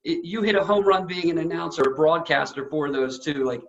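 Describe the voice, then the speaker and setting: An American man with a fairly high voice hosts a casual interview in an effusive, admiring way. The audio is somewhat dull and lo-fi. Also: brisk. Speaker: interview host.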